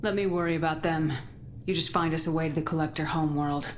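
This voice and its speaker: A woman's voice: deep voice, steady tone, fast, a little hiss or hum, natural voice. A woman playing a tough, resolute military commander in a video game, speaking in a firm, blunt and terse manner. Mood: defiant. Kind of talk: dramatic video game dialogue.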